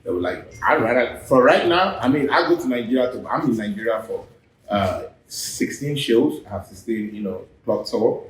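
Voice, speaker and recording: A man's voice: mid-range voice, lively intonation, moderate pace, a little hiss or hum. Boastful man guest, echoey room. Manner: boastful, hesitant fillers. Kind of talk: casual chat.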